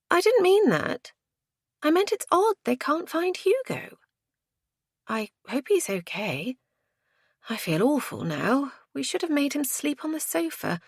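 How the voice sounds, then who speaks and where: Worried, earnest woman acted by a female reader, raised pitch, lively swings, quick to take offence. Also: no echo, clean studio sound, exaggerated voice. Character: anxious, earnest, indignant woman with a conscience.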